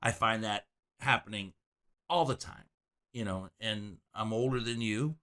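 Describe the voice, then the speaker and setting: A man's voice: lowish voice, measured pace, bright, forceful tone. A middle-aged man hosting an interview, asking probing, reflective questions with some stammering restarts. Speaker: host.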